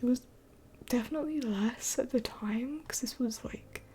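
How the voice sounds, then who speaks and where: A woman's voice: a little high, moderate pace, noisy background. A woman in her twenties hosting a late-night live Q&A, rambling with many fillers and often dropping to a whisper. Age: 20s. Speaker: livestream host.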